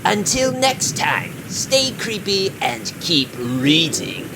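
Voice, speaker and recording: A man's voice: sits high, sing-song, slow pace, background noise, voice pushed up, exaggerated voice. A man voicing a gleeful, creepy ghoul who hosts horror comic tales with puns. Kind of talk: dramatic comic-book horror reading.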